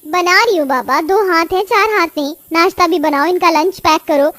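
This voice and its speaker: Woman acting as young cartoon housewife, very high voice, dry close sound, scolding, exasperated, complaining. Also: moderate pace, a bit bright, no room sound, faint music behind. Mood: irritated. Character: quarrelsome young daughters-in-law, irritable and complaining. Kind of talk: Hindi moral-story cartoon dubbing.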